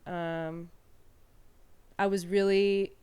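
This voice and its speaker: A woman's voice: medium pitch, little pitch movement, very slow, dark tone, clear, resonant tone. A woman in her thirties, from Peru, speaking candidly and reflectively as an interview guest, with frequent fillers and restarts. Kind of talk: casual conversational interview.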